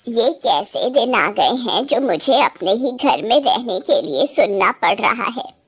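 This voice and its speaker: A woman's voice: a little high, expressive, slow pace, husky, pitched below the performer's own voice, heavily acted. Woman voicing a plaintive, hurt old mother. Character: hurt, helpless old mother, put-upon.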